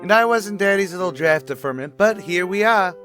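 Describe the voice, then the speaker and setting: A man's voice: high voice, animated, normal speed, fairly bright tone, faint music behind. A man playing a boastful, wisecracking tavern manager in an old-time radio comedy, with a pompous, blustery delivery.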